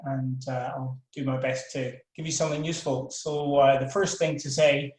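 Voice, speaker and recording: A man's voice: medium pitch, steady tone, normal speed, darkish tone, roomy sound, low-quality recording. An older man from Scotland with a Scottish accent, a professor giving a frank webinar talk, full of fillers and restarts. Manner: frank, self-deprecating, many fillers and restarts. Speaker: professor of world politics.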